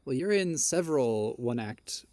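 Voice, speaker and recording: A woman's voice: very deep voice, expressive, a little slow, warm tone, no room sound. A woman hosting an interview who asks friendly, encouraging questions. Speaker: podcast host.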